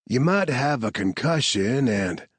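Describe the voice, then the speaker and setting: A man voicing a calm male medic who speaks in a soothing drawl. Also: medium pitch, unhurried, husky, no echo, voice pushed up. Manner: calming drawl.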